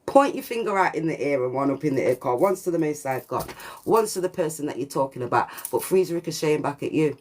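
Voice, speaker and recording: Deep-voiced woman preaching a reading. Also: lively intonation, fast talker, a little mellow. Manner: preachy, repetitive, sprinkled with swearing and slang. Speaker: spiritual reader. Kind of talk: channelled collective reading monologue.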